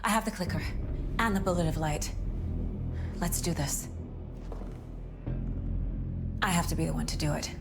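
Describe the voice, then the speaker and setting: A woman's voice: deep voice, fairly quick, gravelly, music in the background. A woman playing a steadfast, protective investigator in a video game, speaking firmly and insistently. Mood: determined. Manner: firm, resolute, insistent. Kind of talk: dramatic cinematic game dialogue.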